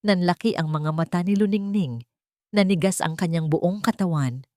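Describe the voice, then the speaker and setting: Low-pitched Filipino woman narrating a dramatic story. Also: moderate pace, warm tone, no echo, studio quality.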